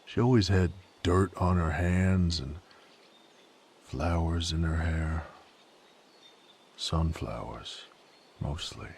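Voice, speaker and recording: A male actor playing a brooding, rough knight with a violent past in an audio roleplay, speaking wearily in folksy, rough diction. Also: slow, long pauses, a little mellow, slight background noise, exaggerated voice. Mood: wistful, subdued. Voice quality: very low, gravelly. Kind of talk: dramatic character monologue, audio roleplay.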